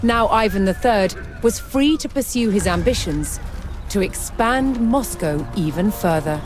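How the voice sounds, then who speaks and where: A woman giving scripted, documentary-style narration for a historical strategy game, measured and formal in her storytelling. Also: mid-range voice, lively intonation. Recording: music behind the voice. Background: music.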